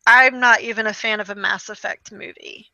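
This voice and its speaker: A woman's voice: mid-range voice, fairly even intonation, moderate pace, vocal fry, bright, forceful tone, no echo, lo-fi sound. A woman co-hosting a video game show in casual chat, running through a list with wry, dismissive asides.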